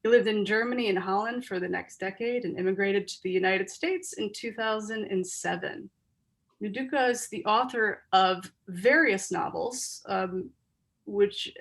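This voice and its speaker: A woman's voice: medium pitch, a bit bright. Gushing woman moderating an online panel. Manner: earnest, gushing, with frequent ums. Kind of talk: effusive introductions on a video call. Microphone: video call.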